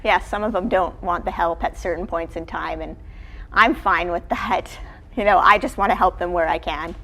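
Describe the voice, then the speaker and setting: A woman's voice: fairly low pitch, normal speed, a bit bright. A woman who coaches college sport, answering interview questions in a casual, conversational way. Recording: clearly noisy background ambience.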